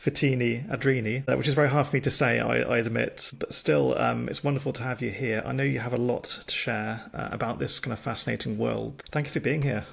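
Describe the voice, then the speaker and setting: A man's voice: medium pitch, steady tone, a little fast, vocal fry, hardly any pauses, slightly rough. A man hosting a podcast interview, friendly and a little hesitant, with plenty of fillers. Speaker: podcast host. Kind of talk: casual interview.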